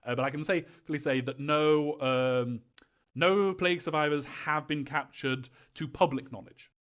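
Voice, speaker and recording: A man answering fan questions in an informal live Q&A, thinking aloud in a halting, rambling way, as a developer. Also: fairly high pitch, a bit bright, dull audio.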